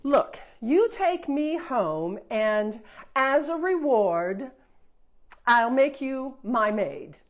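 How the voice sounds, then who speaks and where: A woman voicing a spoiled, lying young princess who talks in a shrill, demanding, whiny way. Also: very animated, drawn-out words, heavily acted.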